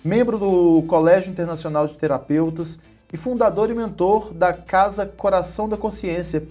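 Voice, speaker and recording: A man's voice: sits high, moderate pace, dark tone, faint music behind. Chatty talk-show host man. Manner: chatty, laughs easily. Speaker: interview host. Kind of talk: interview hosting.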